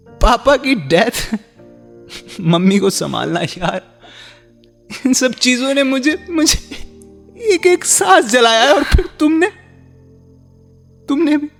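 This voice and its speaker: A withdrawn young husband in a radio play, hurt and guarded. His delivery is halting and emotionally strained. Long hall reverb surrounds him, with faint music behind. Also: very high voice, sing-song, gravelly, a bit bright, full character voice. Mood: anguished. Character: wounded, withdrawn young husband hiding resentment behind duty. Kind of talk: Hindi radio drama acting.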